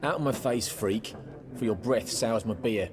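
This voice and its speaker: An actor playing a hostile, rowdy tavern thug in a video game, jeering aggressively. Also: male voice, fairly low pitch, moderate pace, close to an everyday voice. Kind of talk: video game cinematic acting. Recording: crowd chatter behind the voice.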